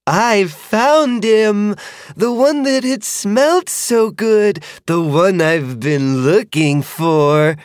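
A man voicing a big female dragon who is eager, stubborn and childlike, speaking slowly and insistently. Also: high-pitched, clear, resonant tone, no echo, studio quality, pitched above the performer's own voice. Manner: slow, insistent, simple.

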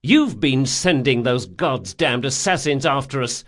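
A man narrating an audiobook, voicing a brash, sardonic thief who speaks forcefully, with menace and exasperation. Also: medium pitch, expressive, measured pace. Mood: angry, threatening. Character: brash, sardonic male thief, threatening, foul-mouthed.

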